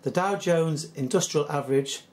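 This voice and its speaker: A hoarse British man hosting a solo commentary, reading out news articles in an earnest, skeptical, measured way. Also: fairly high pitch, darkish tone. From United Kingdom. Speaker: channel host.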